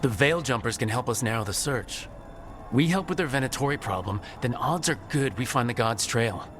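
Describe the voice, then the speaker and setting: A man's voice: mid-range voice, expressive, moderate pace, a bit bright, a little hiss or hum, close to an everyday voice. A man playing a determined, decisive team leader in a fantasy video game. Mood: focused.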